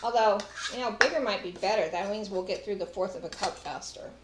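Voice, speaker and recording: A woman's voice: medium pitch, a little fast, noisy background. A middle-aged woman with a Texas accent, chatting to viewers as she demonstrates a recipe in her home kitchen. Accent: Texan. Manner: chatty, talks to viewers while working. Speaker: cooking show host. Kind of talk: casual cooking demonstration. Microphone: far camera. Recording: echoey kitchen with clattering dishes.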